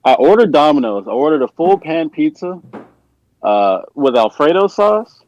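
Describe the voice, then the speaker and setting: A man's voice: on the high side, big pitch swings, normal speed, darkish tone. An American man chatting casually on a podcast, rambling and searching for words, in a slightly muffled, dry recording. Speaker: podcast participant. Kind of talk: casual chat.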